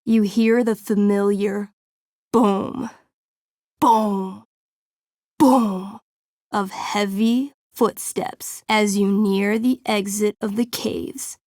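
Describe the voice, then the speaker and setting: Young female game master: theatrical fantasy narration, unhurried and suspenseful, mid-pitched, close dry sound. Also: steady tone, warm tone, no echo. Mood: playfully dramatic. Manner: theatrical, suspenseful, deliberate storytelling. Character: young woman game master narrating fantasy tabletop adventure, playfully dramatic. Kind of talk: dramatic tabletop role-playing narration.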